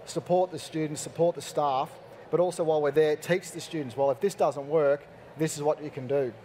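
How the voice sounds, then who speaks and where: Fast-talking, mellow-voiced IT manager, a man. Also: on the high side, steady tone, a little hiss or hum. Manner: brisk, enthusiastic, practical. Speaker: school IT manager. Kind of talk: panel discussion answer.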